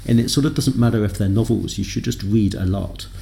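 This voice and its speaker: Podcast guest: deep, gravelly man, mellow and thoughtful, wry self-deprecating asides. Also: brisk. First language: English. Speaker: podcast guest, software developer. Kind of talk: conversational interview.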